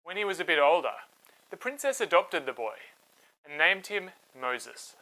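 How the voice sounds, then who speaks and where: A man hosting and narrating a scripted story for children, with dramatic, clearly phrased delivery. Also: fairly high pitch, animated, moderate pace, sharp tone, low-quality recording. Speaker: storyteller, host.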